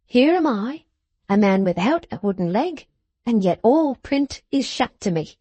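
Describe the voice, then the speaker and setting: A hearty old fellow with rough grammar and a booming, eager delivery, as a woman reads him aloud. He talks slowly and emphatically, his voice pitched up and dry, close to the mic. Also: very animated, mellow, no room sound, heavily acted. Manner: broad, rough-grammared, enthusiastic. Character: hearty uneducated older man, jovial and boastful.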